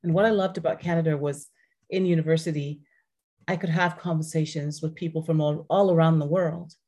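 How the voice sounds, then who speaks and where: A low-voiced woman who grew up speaking French answers questions as a podcast guest. She talks earnestly and steadily, now and then restarting a phrase. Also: steady tone, brisk, dark tone, muffled recording. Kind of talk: casual interview conversation.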